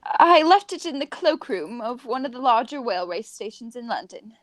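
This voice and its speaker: A woman's voice: on the high side, sing-song, normal speed, no room sound. A woman playing a prim, flustered spinster governess in a radio play, earnest, proper and overwrought. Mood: distressed.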